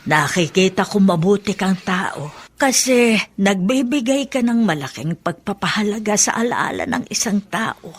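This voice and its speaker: A woman's voice: medium pitch, animated, moderate pace, husky. Kindly old Filipina grandmother. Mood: reassuring, candid. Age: older. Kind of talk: Filipino radio drama acting. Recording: faint noise behind the voice.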